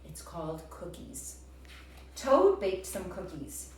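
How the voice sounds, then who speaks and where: A woman's voice: on the low side, big pitch swings, slow. A woman who sounds like a teacher, narrating a storybook and speaking directly to young listeners. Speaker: teacher reading aloud to children. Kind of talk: storybook narration and comprehension prompts. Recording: roomy, distant, faint background noise.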